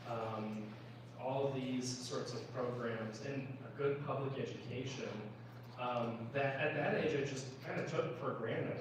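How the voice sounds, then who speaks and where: An American man in his thirties gives an informal personal political speech. He talks in a conversational, plain-spoken way. The sound is very echoey and distant from the mic, with clear background noise. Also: medium pitch. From United States. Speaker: congressional candidate speaking at a town hall. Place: indoor town hall meeting room.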